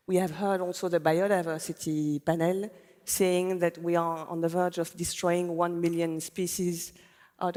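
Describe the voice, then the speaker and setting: A woman policy official speaking on a conference panel, explaining in a measured way. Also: on the low side, a little mellow. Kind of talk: panel discussion on stage. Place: conference stage in a large hall. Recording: long hall reverb.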